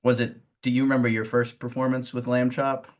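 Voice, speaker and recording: A man's voice: medium pitch, little pitch movement, brisk. A man hosting a casual interview with hesitant phrasing, on slightly muffled audio. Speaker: interviewer, host.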